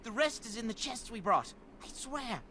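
An actor playing a smarmy, mock-polite bandit leader in a fantasy game, cowardly underneath. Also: male voice, extremely high pitch, expressive, normal speed, bright, forceful tone, a little hiss or hum, exaggerated voice. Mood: nervous, pleading. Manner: mock-polite, smooth-talking. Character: smarmy bandit leader running a toll, cowardly when beaten.

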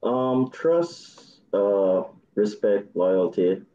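A man in his thirties with a Jamaican accent, chatting on a video call as a dating show contestant, soft-spoken with nervous laughs. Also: fairly low pitch, animated, extremely slow, echoey room, dull audio. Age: 30s. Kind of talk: casual video call chat.

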